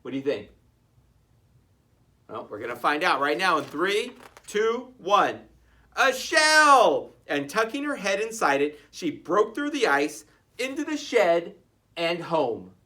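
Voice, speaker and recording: An expressive storybook narrator with a bright voice and hugely varied pitch. The reading is playful and aimed at children, with chatty asides along the way. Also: slow. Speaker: storybook reader.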